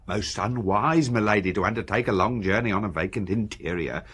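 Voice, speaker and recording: An actor playing a solemn, deferential English manservant, formal and measured, in a radio drama. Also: male voice, lowish voice, a bit bright, husky.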